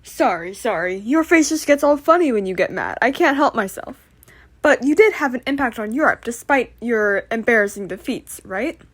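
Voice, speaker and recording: A woman plays a chatty history talk-show host in a puppet comedy. The voice is high-pitched and very animated, and the manner is polite with a teasing edge. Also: moderate pace.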